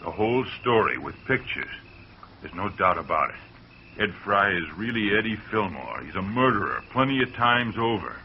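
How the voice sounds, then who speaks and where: An actor playing a scheming young farmhand in a 1940s radio drama, urgent and conspiratorial. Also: male voice, lowish voice, big pitch swings, normal speed, slight background noise. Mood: conspiring. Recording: muffled old radio recording.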